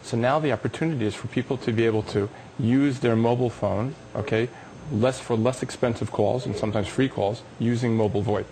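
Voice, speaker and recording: Fast-talking, gravelly man pitching technology. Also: fairly even intonation, mellow, slight background noise, low-quality recording. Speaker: company executive being interviewed. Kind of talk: live business TV interview, promotional explaining.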